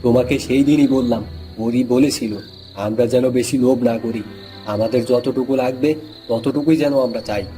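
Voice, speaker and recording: Guy doing honest worried village shopkeeper husband, cartoon story, earnest n plaintive, mellow voice, bit of music behind. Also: medium pitch, fairly even intonation, normal speed, lightly acted. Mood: reproachful. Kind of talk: Bengali cartoon moral-story voice acting.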